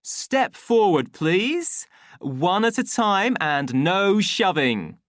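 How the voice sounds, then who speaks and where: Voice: mid-range voice, very animated, slow pace, a bit bright, no room sound, clean studio sound, voice pushed up. A reader playing a fussy young male official in an audiobook, officious and bossy yet timidly polite. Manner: clipped, officious commands and coaxing pleas.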